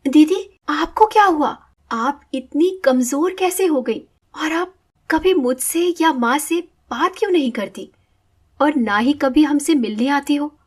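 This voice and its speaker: A woman's voice: sits high, measured pace. A woman playing an upset, caring younger sister in a Hindi moral story, speaking earnestly and emphatically. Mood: alarmed, concerned.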